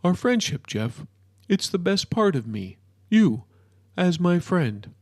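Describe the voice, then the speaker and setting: A man as a massive, creaky-voiced, slyly friendly monster. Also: sits high, big pitch swings, takes it slowly, dry recording, clean studio sound, voice pushed up, exaggerated voice. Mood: ingratiating.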